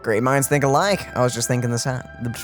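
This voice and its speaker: A woman's voice: rumbling low, quick pace, music in the background. A woman doing casual, offhand and playful let's play commentary. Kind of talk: casual gameplay commentary, reading along.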